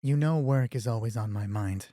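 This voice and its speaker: A man voicing a career-driven wife who defends her work in a measured, insistent way in an audio drama. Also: medium pitch, mellow, no echo, clean studio sound, pitched below the performer's own voice, close to an everyday voice. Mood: defensive.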